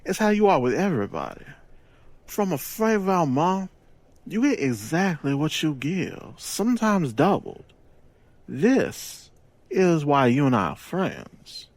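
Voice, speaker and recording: An actor playing a smooth gangster boss in an audio drama, with a deliberate, self-assured, persuasive delivery and sly wit. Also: male voice, fairly high pitch, sing-song, no room sound. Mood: confiding, persuasive.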